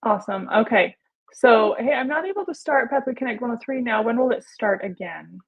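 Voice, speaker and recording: A woman's voice: a little high, animated, brisk, husky, poor audio. A woman casually hosting a livestream and reading out viewer questions.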